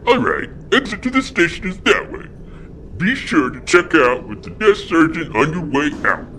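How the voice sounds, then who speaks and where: A man's voice: a little high, huge pitch swings, moderate pace, pushed and bright, slight background noise, exaggerated voice. A man voicing a brusque, officious alien police sergeant in a comedy audio drama. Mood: dismissive. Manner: officious, curt.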